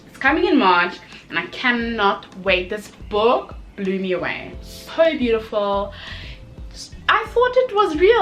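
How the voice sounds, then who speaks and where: A woman giving a casual, chatty review to camera as a video host, expressive and emphatic, with short exclamations and repeated phrases. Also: a little high.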